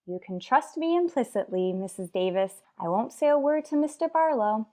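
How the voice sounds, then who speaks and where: An actress plays a sardonic woman schoolteacher in a radio sitcom. Her pitch swings with lively expression as she lands dry, sarcastic wisecracks in an old-time comic style. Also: moderate pace, natural voice. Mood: dry teasing. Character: wry, sardonic woman high school English teacher.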